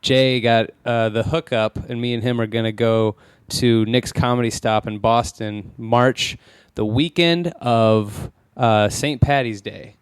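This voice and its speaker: A man, a comedian guest, chatting casually in a halting, rambling way. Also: mid-range voice, steady tone, clear, resonant tone, no echo, clean studio sound. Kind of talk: casual chat.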